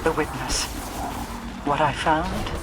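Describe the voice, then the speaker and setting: A woman playing a commanding, solemn queen in a video game, with a formal, measured way of speaking. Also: low voice, noisy background. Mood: haunted, solemn. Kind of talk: dramatic game voice acting. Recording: loud music over voice.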